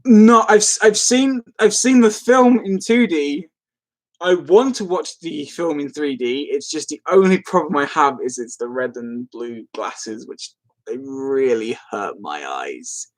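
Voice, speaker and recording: A man's voice: on the high side, lively intonation, unhurried, rough recording. Giggly man chatting on a live stream. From London, England. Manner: rambling, easily amused, giggly. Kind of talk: casual chat, answering live comments.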